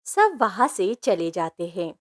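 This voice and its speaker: A woman's voice: medium pitch, sing-song, takes it slowly, no echo. Woman narrating a children's story, very dry sound.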